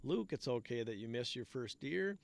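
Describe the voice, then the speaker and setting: A man's voice: mid-range voice, animated, moderate pace, creaky. A man voicing a reassuring, hearty father out hunting with the children in a storybook. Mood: calm, reassuring. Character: calm, encouraging father out deer hunting.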